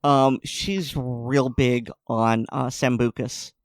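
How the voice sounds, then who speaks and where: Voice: medium pitch, fairly even intonation, slow pace, dry recording, clean studio sound. A podcast co-host chatting casually, rambling and restarting sentences, laughing often. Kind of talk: casual chat.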